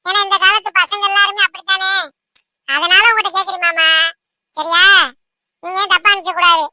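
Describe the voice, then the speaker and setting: Cartoon bride-to-be played by a woman: young, timid, fretful, extremely high and piercingly bright. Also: level tone, a little fast, no echo, heavily acted. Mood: timid, hesitant. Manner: hesitant, fretful, repeatedly seeks reassurance. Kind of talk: amateur cartoon dubbing.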